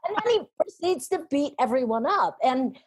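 A middle-aged woman talking about sales and speaking in an interview, animated and emphatic, laughing often. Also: sits high, moderate pace, breathy edge. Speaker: guest, sales trainer and speaker. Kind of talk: conversational interview.

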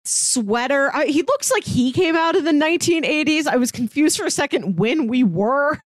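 A woman's voice: sits high, lively intonation, moderate pace, no echo. A woman co-hosting a podcast, giving her opinions on a television show in casual conversation. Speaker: podcast co-host.

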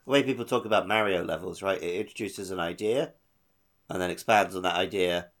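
A man's voice: low voice, fairly quick, a bit bright. A man co-hosting a casual video game podcast, speaking in an informal, joking manner. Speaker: podcast host.

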